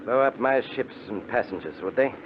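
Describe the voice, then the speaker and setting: Actor as stern ship's captain, muffled old radio. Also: male voice, on the low side, expressive, fairly quick, close to an everyday voice. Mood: coldly commanding. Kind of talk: 1950s radio drama acting. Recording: muffled, slightly noisy 1950s radio broadcast.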